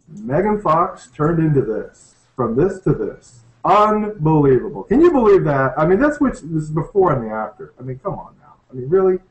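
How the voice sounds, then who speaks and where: Male podcast host, lively pitch, echoey room, joking and casual. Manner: laughing, joking, animated. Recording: echoey room with faint noise.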